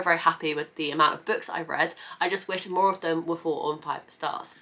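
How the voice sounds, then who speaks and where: A young woman talks through her year of books in a relaxed vlog manner, her voice a touch low. A cold leaves her sounding stuffy and bunged up. She reels off title after title in a steady stream. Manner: chatty, listing titles in a steady run. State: congested from a cold. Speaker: book vlogger. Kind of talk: casual reading-recap vlog. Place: at home.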